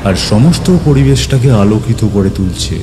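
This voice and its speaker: A man narrating a ghost story in the first person, in a dramatic, suspenseful way with deliberate pauses. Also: on the low side. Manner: suspenseful, theatrical, with deliberate pauses and repeated words. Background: music.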